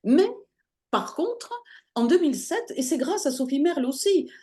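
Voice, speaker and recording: A woman speaks as an interview guest, recounting a personal story in a relaxed, conversational way. Her voice is mellow and warm, and her pitch swings widely as she talks. She often stops to search for words, filling the gaps with hesitations. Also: normal speed. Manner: lively, searching for words with many fillers. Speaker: interview guest, holistic practitioner.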